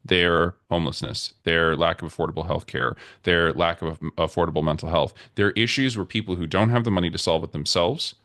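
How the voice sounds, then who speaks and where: Very low male voice, earnest rallying tone, dry close sound, podcast guest. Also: fairly quick, hardly any pauses, a bit bright, no room sound. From United States. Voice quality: very deep voice. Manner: earnest, rallying speech-like delivery. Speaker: podcast guest, nonprofit consultant. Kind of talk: conversational interview.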